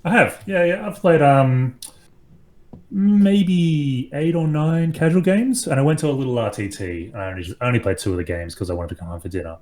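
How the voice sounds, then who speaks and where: An Australian man talking as a guest on a casual podcast, enthusiastic and animated, swearing casually. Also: medium pitch, brisk.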